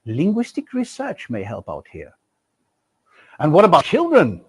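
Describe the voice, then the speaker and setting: A middle-aged man delivers a recorded university lecture in an expressive way, tossing out rhetorical questions and leaning hard on key words. His voice sits somewhat high and rises and falls widely. The delivery is animated and emphatic. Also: unhurried, breathy edge.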